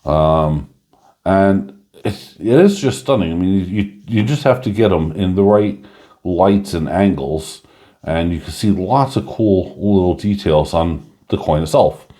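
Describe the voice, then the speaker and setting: A man chatting casually through a coin review, rambling with lots of fillers and a slightly hoarse voice. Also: low-pitched, animated, measured pace, dark tone.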